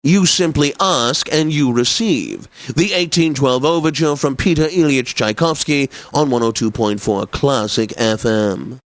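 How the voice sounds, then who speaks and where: An actor playing a pompous, genteel classical radio DJ in a video game, announcing in a precise and theatrically obliging manner. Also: male voice, mid-range voice, a little slow, few pauses, no echo, close to an everyday voice. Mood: stately, smooth announcing. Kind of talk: scripted radio continuity announcing.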